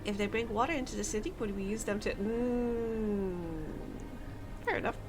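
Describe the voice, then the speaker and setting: A woman's voice: on the high side, steady tone, normal speed, noisy background. A woman streamer chatting playfully and thinking aloud while she plays, sometimes reading in-game text aloud. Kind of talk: gameplay commentary.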